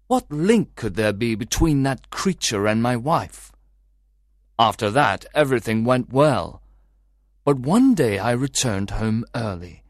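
A man's voice: medium pitch, dry recording, studio quality. A man playing a worried, suspicious husband who recounts his troubling story to a detective in a dramatised audiobook. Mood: troubled, recounting.

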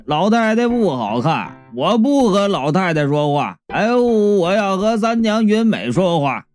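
A man voicing a stubborn, simple-minded childish man who whines in drawn-out refusals in an audiobook. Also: high voice, very animated, darkish tone, soft background music. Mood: whining protest.

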